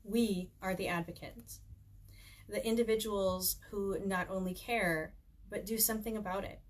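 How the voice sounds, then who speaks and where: A woman's voice: medium pitch, steady tone, normal speed, poor audio. A woman author reading her own book aloud at home in an informal, conversational way. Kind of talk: reading aloud with casual chatty asides. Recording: clear background noise, home recording.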